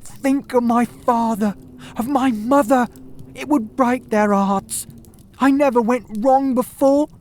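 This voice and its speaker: A man voicing a terrified young male thief who begs and stammers in a high, crackling voice, with faint hiss behind. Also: slow, a little mellow. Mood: desperate pleading. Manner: shrieking, stammering, begging.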